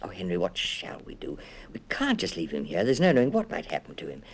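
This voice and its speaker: A kindly storybook wife and mother, voiced by a man in his low register speaks briskly and with feeling. She is excitable and warm-hearted, quick to exclaim. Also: vocal fry, slight background noise, heavily acted. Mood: concerned, pleading. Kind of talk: children's audiobook character voice.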